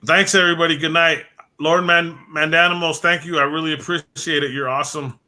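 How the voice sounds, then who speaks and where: A man's voice: fairly high pitch, fairly even intonation, fairly quick, pushed and bright. A man hosting a late-night livestream, rambling and repeating words as he thanks viewers by name, his voice worn and fading. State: losing his voice. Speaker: livestream host. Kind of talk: casual livestream chat.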